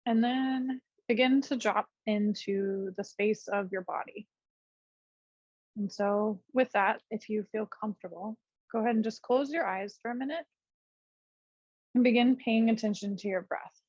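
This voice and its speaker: Husky woman guiding a seated meditation. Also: mid-range voice, no room sound, dull audio. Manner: gentle, unhurried, pausing between short phrases. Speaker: yoga and meditation teacher. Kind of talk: guided meditation, unscripted class instruction.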